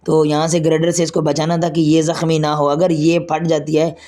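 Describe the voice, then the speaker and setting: A hoarse man with a Pakistani Urdu accent, a construction site engineer walking an outdoor road works and explaining each step to his vlog viewers. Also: a little high, monotone, normal speed, dark tone. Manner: explanatory, pointing things out step by step.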